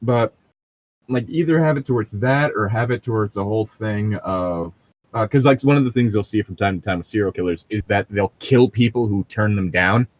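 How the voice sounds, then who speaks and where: Low-voiced man chatting, casual and sweary. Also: moderate pace, no echo. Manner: profane, rambling, sardonic. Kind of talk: casual group chat.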